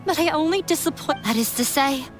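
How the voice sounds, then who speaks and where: Determined, high-voiced runaway daughter. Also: female voice, expressive, brisk, soft background music. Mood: resigned, resolute. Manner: earnest, firm, formal. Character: determined young woman, scholar's wounded runaway daughter. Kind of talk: video game voice acting.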